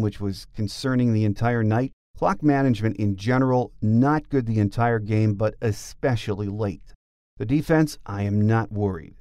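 A man's voice: medium pitch, darkish tone, dry recording, clean studio sound. A man hosting a sports podcast, delivering scripted commentary as a plain-spoken, opinionated fan.

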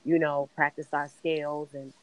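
A raspy middle-aged woman from Chicago speaks in an earnest, measured way as a podcast guest. Her pitch stays level and even, with little rise or fall. She tells her story steadily and without hurry. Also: rough recording. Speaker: interview guest, singer. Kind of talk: podcast interview.

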